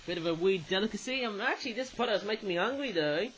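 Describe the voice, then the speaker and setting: A young man with an Australian accent and a notably high voice, talking through a toy review in a loose, rambling way. He keeps tacking a questioning "eh?" onto his remarks as he goes. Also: animated, quick pace, fairly bright tone, a little hiss or hum, rough recording. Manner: rambling, tags sentences with "eh?". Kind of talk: casual toy review monologue.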